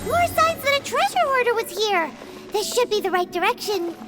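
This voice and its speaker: A woman voicing a tiny, excitable floating companion girl in a video game, who chirps and talks about herself by name. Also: extremely high pitch, normal speed. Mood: chirpy. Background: game music.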